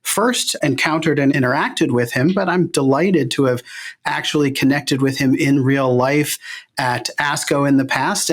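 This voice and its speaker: A man hosting an interview podcast as a medical oncologist, reading his intro and then asking questions in a measured, careful way. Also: on the high side.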